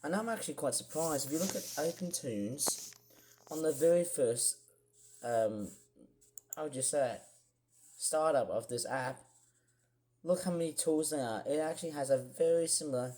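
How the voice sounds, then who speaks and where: A young man with a croaky voice, chatting casually in a rambling vlog with many fillers and restarts. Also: a little high, moderate pace, pauses often, darkish tone. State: croaky, clearing throat. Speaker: vlogger. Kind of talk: casual screen-share vlog.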